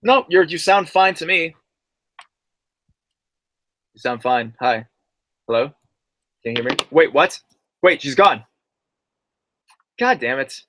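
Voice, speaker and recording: A man's voice: on the high side, animated, measured pace, pauses often, fairly bright tone, no room sound. A young adult man who reads viewer horror stories aloud and then chats loosely with his live-stream audience, full of slang. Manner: slangy, loose and chatty, calls listeners bro and dude.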